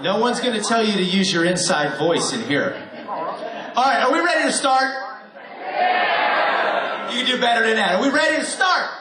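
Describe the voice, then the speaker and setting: A high-pitched man talks playfully to a live audience from a stage, coaxing them to cheer louder. His voice rings through an echoey hall. The crowd murmurs and claps behind him. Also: animated, moderate pace, long pauses. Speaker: host of a live radio theater show. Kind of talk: warm-up talk to a live audience. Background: audience noise and applause.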